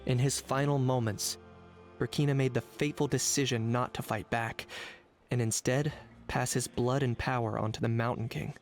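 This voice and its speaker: An actor voicing a cool, pragmatic young warrior in a video game, terse and matter-of-fact. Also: male voice, medium pitch, fairly even intonation, moderate pace, soft background music, natural voice. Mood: reflective, serious.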